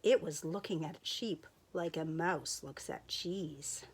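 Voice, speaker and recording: A woman reading a children's picture book aloud, in a playful, expressive storyteller's voice. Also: low-pitched, slow pace, slightly rough. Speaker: storyteller teacher.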